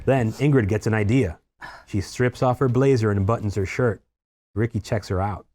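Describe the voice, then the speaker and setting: A man's voice: fairly low pitch, normal speed, darkish tone. A man reading stage directions aloud as narrator at a screenplay table read.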